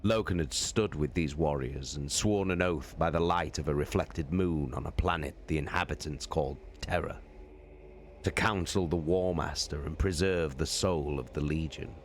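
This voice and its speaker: A man streamer giving a slow, deliberate dramatic reading of a novel. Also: rumbling low, a little hiss or hum. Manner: slow, deliberate, drawn-out phrasing. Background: faint background music.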